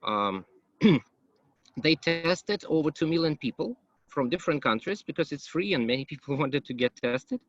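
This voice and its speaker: A man giving a conference talk as an English teacher, pausing often with ums and uhs. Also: a little high, expressive, fairly bright tone. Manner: hesitant, many ums and uhs. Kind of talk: conference presentation. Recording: dull, muffled, dry.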